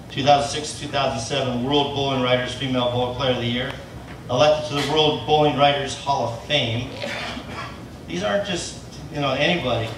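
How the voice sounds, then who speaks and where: A man introducing the coaches to an audience, rambling with fillers and self-deprecating asides. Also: mid-range voice. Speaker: event presenter introducing coaches. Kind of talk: speech to an audience. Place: large event tent, from far off. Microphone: PA system, recorded by a phone in the audience. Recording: echoey tent, noisy, distant.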